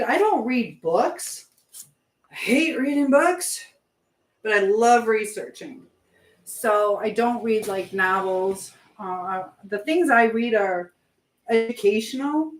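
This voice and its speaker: A middle-aged woman hosting a casual live stream, rambling between viewer comments and the baking she is doing as she talks. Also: fairly high pitch. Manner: rambling, hopping between chat and task; chatting while baking.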